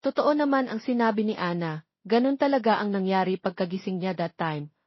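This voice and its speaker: A woman's voice: medium pitch, brisk, no echo. A woman reading a scripted romance story as an audiobook narrator, in a muffled recording.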